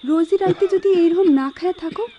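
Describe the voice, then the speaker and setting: A woman's voice: very high-pitched, a little fast, dark tone. A woman playing a devoted younger sister in a radio drama, fretting and scolding plaintively in a rural Bangladeshi dialect. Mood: fretful, plaintive. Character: devoted, fretful younger sister in village household. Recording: dull, lo-fi radio recording.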